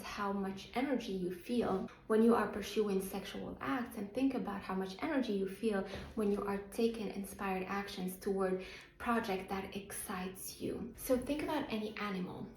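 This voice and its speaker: A woman's voice: medium pitch, a little mellow. A woman from Morocco with a Moroccan accent, speaking as a motivational coach in an earnest, emphatic self-help talk to her channel's viewers. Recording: roomy echo, faint music underneath.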